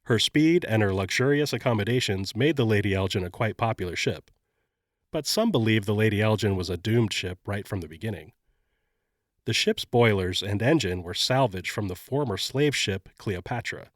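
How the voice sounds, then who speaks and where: A man hosting a podcast, sounding slightly nasal and congested while getting over an illness, narrating a scripted story in a measured way. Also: on the low side, animated, no echo, clean studio sound. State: congested, recovering from covid. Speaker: podcast host.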